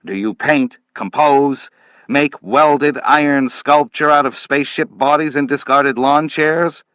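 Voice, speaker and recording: A man doing a brusque, intense agency boss who talks in sharp, emphatic bursts. His low voice comes across dry and close, with no room around it. Impatient and clipped, like a man short on time. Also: animated, measured pace, dry recording, lo-fi sound.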